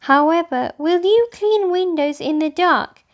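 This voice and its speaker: Woman doing a little girl, high-pitched, polite, cheeky, children's storybook character. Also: animated, unhurried. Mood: teasing.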